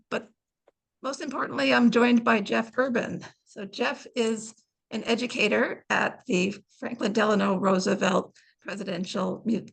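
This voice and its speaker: A woman's voice: medium pitch, gravelly, husky, low-quality recording. A woman with an American accent, a library director hosting a webinar and introducing the speaker, casual with frequent ums.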